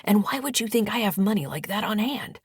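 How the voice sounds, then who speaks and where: A woman's voice: mid-range voice, expressive, fairly quick, husky, dry recording, studio quality, voice pushed up. A woman voicing a secretive, irritated husband in an audiobook, whispering curtly. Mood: hushed annoyance. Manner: whispering, curt. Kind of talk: audiobook character dialogue.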